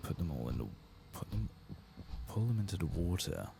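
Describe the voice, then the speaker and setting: A soft-spoken man giving ASMR gameplay commentary, hushed and halting as he muses to himself. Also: low voice, big pitch swings, creaky. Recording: loud background noise and game ambience.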